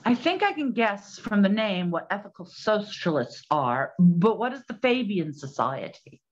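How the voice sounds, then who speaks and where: A woman's voice: medium pitch, very animated, a little slow. Dull-sounding plucky 1920s lady detective, a woman acting. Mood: curious. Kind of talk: scripted period radio drama acting.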